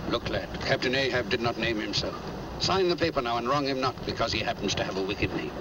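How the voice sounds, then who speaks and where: An actor playing a blustering, fair-minded old whaling captain with brusque, archaic Quaker speech in a vintage drama. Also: male voice, mid-range voice, animated, quick pace, lightly acted. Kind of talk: vintage film drama acting. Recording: noisy vintage film soundtrack, harbour ambience.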